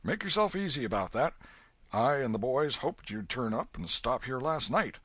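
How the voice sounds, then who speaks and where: A man voicing a kindly, gruff old Welshman with a booming, fatherly manner. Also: lively intonation, moderate pace, fairly bright tone, no room sound. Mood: hearty.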